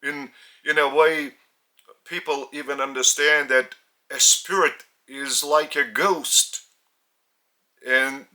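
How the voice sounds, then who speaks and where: A man from Lithuania with a Lithuanian accent, a spiritual teacher speaking in short, halting phrases, his native language being Lithuanian. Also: medium pitch, bright, forceful tone. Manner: halting, phrase-by-phrase delivery with pauses. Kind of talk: slow spiritual teaching talk.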